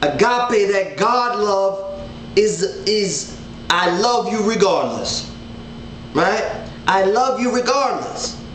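A man in his thirties with a rather high voice teaches scripture in an unhurried, earnest way. He gestures as he speaks and circles back over his words. The webcam sound is roomy, with a faint hum of ambience behind him. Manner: earnest, animated hand gestures, repeats words. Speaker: Bible study teacher. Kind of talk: casual online Bible teaching. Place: living room at home, indoors. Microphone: webcam at desk distance. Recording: roomy home webcam audio.

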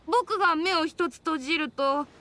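Small innocent boy, high bright childlike voice, halting, earnest, anime game. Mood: earnest, puzzled.

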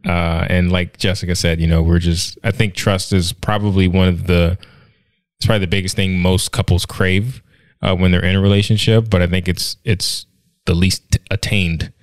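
A man's voice: sits low, level tone, normal speed, no echo, clean studio sound. A man in his thirties co-hosting a casual podcast at home, talking in a rambling, thoughtful way with many restarts and fillers. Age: 30s. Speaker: podcast co-host. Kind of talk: casual conversational podcast chat. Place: living room at home, indoors. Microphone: studio mic on a stand with headphones.

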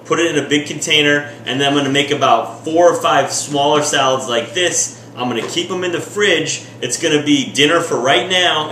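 A man's voice: on the high side, pushed and bright, echoey room, distant mic, slight background noise. A man in his thirties giving a casual cooking how-to in his home kitchen, talking in an upbeat, direct coaching tone. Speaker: fitness vlogger.

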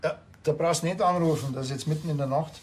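An actor playing a wry, deadpan know-it-all policeman in a TV comedy. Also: a little high, quick pace, husky. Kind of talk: TV comedy-crime dialogue.

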